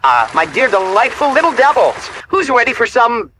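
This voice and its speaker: A man's voice: extremely high pitch, very animated, normal speed, noisy background. A man using an AI voice changer to voice a gleeful, devilishly polite radio demon host with showy, theatrical patter. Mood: gleeful showmanship. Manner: showy, theatrical announcer delivery. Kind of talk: old-time radio showman patter.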